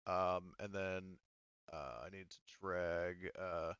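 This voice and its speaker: A man's voice: low voice, fairly even intonation, slow pace, a bit bright, clear, resonant tone, dry recording, dull audio. A man acting as game master of a tabletop sci-fi roleplaying game, improvising and thinking aloud in a casual way.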